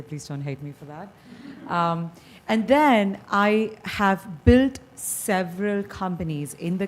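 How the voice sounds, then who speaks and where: A woman from India with an Indian accent, a company founder giving a persuasive presentation at a school board meeting. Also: on the low side. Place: meeting hall.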